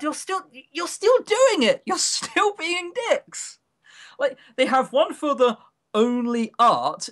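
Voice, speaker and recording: A man's voice: sits high, sharp tone. A man co-hosting a podcast in casual, opinionated chat, grumbling, sarcastic and given to swearing. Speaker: podcast co-host.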